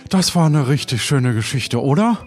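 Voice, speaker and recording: A man's voice: mid-range voice, lively intonation, unhurried, darkish tone, soft background music, natural voice. A man playing a shy, eager pirate cook in an improvised audio drama, hesitant and repeating his words. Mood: moved, delighted.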